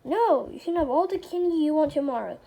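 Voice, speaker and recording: A woman's voice: high voice, animated, fairly quick, vocal fry, dark tone, poor audio. A woman voicing a firm, exasperated storybook mother who scolds and questions her young son.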